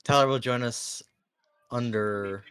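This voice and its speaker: A person giving offhand instructions to the drivers, heard quietly from off-mic. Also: medium pitch, steady tone, slow, clean tone.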